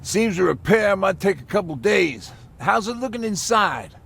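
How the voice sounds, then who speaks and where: An actor playing the calm, matter-of-fact leader of a survivor group in a video game, with a gravelly voice. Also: male voice, high-pitched, normal speed, heavily acted. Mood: calm, practical. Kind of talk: video game cutscene acting.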